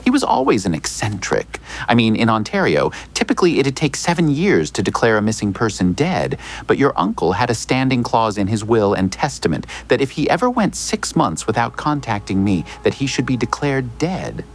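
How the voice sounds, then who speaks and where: An actor playing a smooth, evasive small-town lawyer in an audio drama, speaking in a measured, theatrical, hinting way. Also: male voice, mid-range voice, very animated. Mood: cryptic, insinuating.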